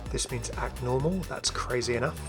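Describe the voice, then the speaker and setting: A man's voice: mid-range voice, moderate pace, soft background music. A man presenting explanatory video game commentary as a voice-over. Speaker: video host.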